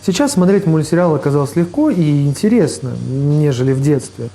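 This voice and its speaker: A man's voice: a little high, animated, a little fast, music in the background. A man hosting a video review, reading casual scripted narration in a conversational way. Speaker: video host reviewing forgotten cartoons. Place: voice-over with faint music behind.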